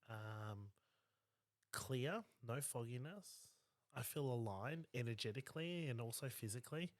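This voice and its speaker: Brief: New male podcast co-host, Māori speaker, animated and rambling, dry close mic. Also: mid-range voice, no room sound. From New Zealand. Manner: rambling, animated, many fillers. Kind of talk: casual podcast conversation.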